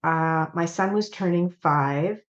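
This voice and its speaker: A woman's voice: fairly low pitch, slow. An older woman, a guest on a video call, animatedly telling stories and acting out the lines of people in them. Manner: animated storyteller, acts out quotes. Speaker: guest, clothing entrepreneur. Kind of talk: casual storytelling. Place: home office, indoors. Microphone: video call webcam. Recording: dull lo-fi video call audio.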